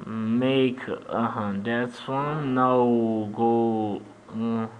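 A man from Armenia with an Armenian accent, guiding a software tutorial in halting, simple English with many "uh-huh" fillers. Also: medium pitch, steady tone, slight background noise. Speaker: 3D animation tutor. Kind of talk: screen-recorded software tutorial.

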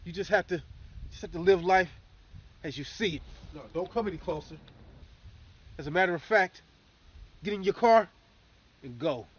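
A male actor playing a bitter, troubled middle-aged man with hidden darkness, in a brooding dramatic manner with deliberate pauses. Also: sits high, expressive, dull audio. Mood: despairing, resigned, then desperate, commanding. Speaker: actor performing a monologue and scene reel. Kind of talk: dramatic screen acting, monologue and scene.

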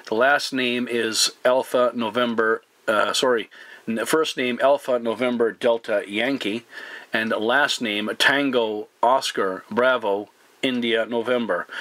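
Guy on cb radio, bright crisp-edged voice, polite and kinda formal, medium pitch. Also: no echo. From Newfoundland, Canada. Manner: courteous, formal radio phrasing. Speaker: CB radio operator making a contact video. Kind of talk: two-way radio exchange with call signs.

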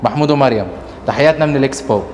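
A man in his thirties with an Egyptian Arabic accent, vlogging excitedly outdoors and in pavilions, talking straight to viewers. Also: mid-range voice, rapid-fire. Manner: excitable, chatty, addresses viewers directly. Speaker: travel vlogger. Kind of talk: casual travel vlog. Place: outdoor expo grounds and indoor pavilions.

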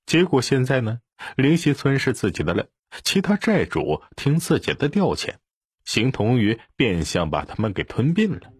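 A man's voice: mid-range voice, very animated, normal speed, husky, no room sound. A man reading an audiobook as narrator in a scripted, dramatic storytelling style.

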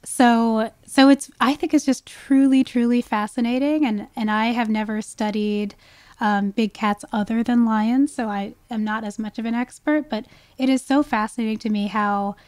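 A woman's voice: a little high, fairly even intonation, normal speed, darkish tone, no room sound. A woman speaking as a guest expert in a conversational interview, explaining with enthusiasm. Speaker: guest expert, wildlife ecologist.

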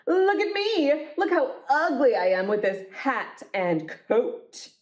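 A woman's voice: sits high, very animated, voice pushed up, heavily acted. Woman voicing a boastful, exclamatory silly monster. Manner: exclamatory, drawn-out emphatic words. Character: boastful silly picture-book monsters competing to be ugliest. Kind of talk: storybook read-aloud.

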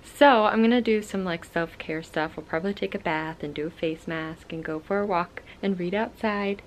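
A woman's voice: on the low side, moderate pace, slight background noise, low-quality recording. A woman in her twenties chatting casually and enthusiastically as a book vlogger, recorded on a camera at home. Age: 20s. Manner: enthusiastic, informal. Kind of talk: casual vlog chat. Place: at home, mostly in a bedroom.

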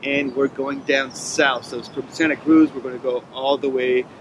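A man's voice: medium pitch, moderate pace, noisy background. A man in his thirties from France with a French accent, casually narrating a road-trip vlog with lots of fillers. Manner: relaxed, rambling with many fillers. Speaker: travel vlogger. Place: camper van and outdoors at a picnic table. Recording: music bed under voice. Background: music.

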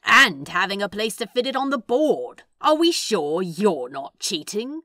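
A woman's voice: mid-range voice, big pitch swings, measured pace, bright, forceful tone, no echo, studio quality. A woman voicing a teasing, sardonic male demon in a fan fiction reading, with a sly, casual drawl. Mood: incredulous.

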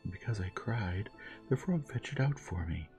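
A man's voice: fairly low pitch, lively intonation, moderate pace, gravelly, darkish tone, faint music behind, voice pushed up. A man voicing a spoiled, fickle young princess in a fairy tale, with a light, airy delivery. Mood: anxious.

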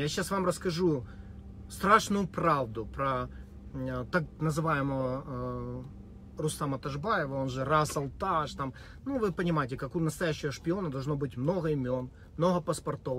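Male vlogger, slightly high voice, dry sarcastic mock confession, faint vehicle hum. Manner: deadpan, mock-serious, hesitant with many fillers. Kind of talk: sarcastic spoken monologue.

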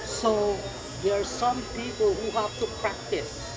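Slow, earnest older man in a crowd. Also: high voice, fairly even intonation. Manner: earnest, preachy, spiritual. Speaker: market visitor. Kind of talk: casual debate. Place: large indoor flea market hall. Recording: busy crowded hall behind.

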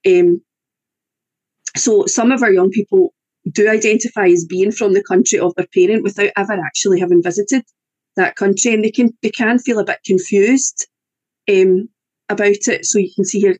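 A woman's voice: mid-range voice, fairly even intonation, normal speed, darkish tone, husky, no room sound. A woman with a Scottish accent, an English-language teacher, giving an online presentation over a video call, often hesitating with fillers and restarts. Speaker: EAL teacher.

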